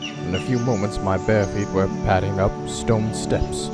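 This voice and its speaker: An actor playing a shipwrecked big-game hunter in a radio drama, telling his story in a dramatic, measured way. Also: on the low side, fairly even intonation, over loud music, natural voice. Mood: tense, weary. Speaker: narrator and lead actor. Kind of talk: old-time radio drama, first-person narration.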